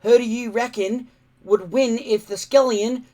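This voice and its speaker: A man's voice: extremely high pitch, fairly even intonation, a little slow, mellow, pitched above the performer's own voice. A man voicing a cocky, argumentative young Viking boy in a storybook, speaking eagerly and emphatically. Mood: boastful.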